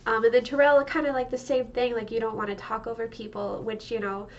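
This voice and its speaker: A woman's voice: on the high side, little pitch movement, brisk, far from the mic, highs cut off. Congested young woman chatting rambly. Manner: rambling, many fillers, laughs often. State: stuffed up, congested. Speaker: vlogger. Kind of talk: casual monthly check-in vlog. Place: home room.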